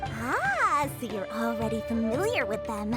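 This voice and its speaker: A small, sly female game merchant whose voice is very high and swoops with theatrical flourish. She gushes over customers like an oily saleswoman, always eager for money. Music plays clearly behind her. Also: sing-song, a little slow. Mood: obliging, smug. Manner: theatrical, unctuous salesperson patter. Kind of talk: scripted game dialogue.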